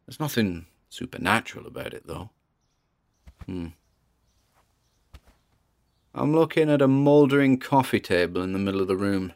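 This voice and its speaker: A man's voice: on the low side, expressive, normal speed, long pauses, a little mellow. A man with a British accent playing a wary, chatty paranormal investigator narrating his exploration in a podcast-style audio drama, with theatrical asides. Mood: uneasy, curious.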